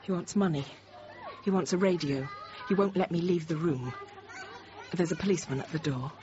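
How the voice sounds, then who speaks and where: An actress in her thirties playing a frightened but composed French teacher held hostage, narrating quietly, often near a whisper. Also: fairly low pitch, normal speed, a faint crowd, natural voice. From France. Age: 30s. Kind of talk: first-person dramatic narration. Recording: dull noisy radio drama recording.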